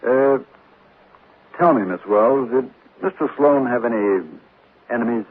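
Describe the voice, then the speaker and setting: An actor playing a polite, probing sheriff in a 1940s radio drama, measured and slightly hesitant. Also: male voice, medium pitch, slightly rough. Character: courteous, probing small-town sheriff. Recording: old radio, narrow band, faint noise.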